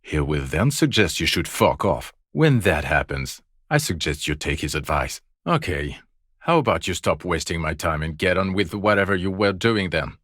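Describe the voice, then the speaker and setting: An actor playing a world-weary, fed-up police detective in a video game, profane and sneering, with deadpan sarcasm. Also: male voice, deep voice, moderate pace, no room sound, clean studio sound, full character voice. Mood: scornful.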